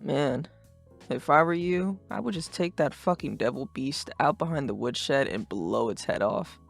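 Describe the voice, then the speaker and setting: Casting: a woman as a cool, sarcastic teen boy for a cartoon dub; very low, creaky voice, deadpan and slangy. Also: unhurried, faint music behind, full character voice. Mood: deadpan, mildly sarcastic. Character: laid-back, too-cool teenage boy, sarcastic and slangy. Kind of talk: fan dub voice acting.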